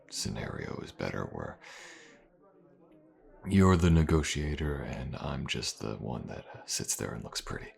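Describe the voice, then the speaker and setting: Man acting a very deep, gravelly mercenary. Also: heavily acted. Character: gruff, wry, loyal adult male fantasy mercenary. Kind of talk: intimate fantasy audio roleplay acting.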